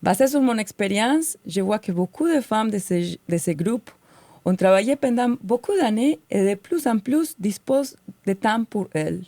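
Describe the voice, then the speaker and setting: A woman's voice: mid-range voice, expressive, normal speed, mellow, no room sound. A woman in her forties from Colombia, with a light Colombian Spanish accent, co-hosting a podcast and speaking earnestly from prepared notes. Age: 40s. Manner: earnest and heartfelt. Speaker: podcast co-host.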